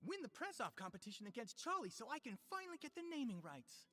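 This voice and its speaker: A woman's voice: fairly high pitch, sing-song, quick pace, bright, forceful tone, faint music behind, full character voice. A woman playing a proud, earnest, easily indignant character in a video game, explaining things emphatically.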